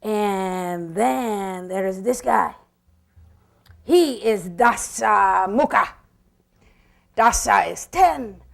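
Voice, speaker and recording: A woman's voice: mid-range voice, lively intonation, very slow, vocal fry, long pauses. A woman presenting puppetry in an explanatory storytelling talk, theatrical and emphatic, with rhetorical questions.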